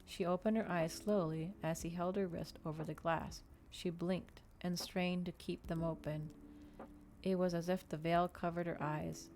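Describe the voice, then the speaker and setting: A woman's voice: lowish voice, fairly even intonation, a little slow, a little mellow. A woman narrating a novel aloud as a scripted ASMR reading. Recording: noticeable background noise.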